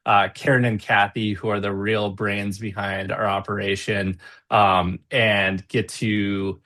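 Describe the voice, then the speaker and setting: Male webinar host, lowish voice, friendly filler-heavy patter, video call sound. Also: level tone, measured pace, sharp tone. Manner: chatty, upbeat, many fillers. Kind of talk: casual webinar hosting.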